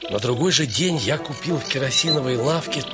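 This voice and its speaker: A man's voice: mid-range voice, a little fast, loud music behind the voice, close to an everyday voice. A man performing a first-person literary story as its troubled narrator, a dog owner. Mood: calm, wry.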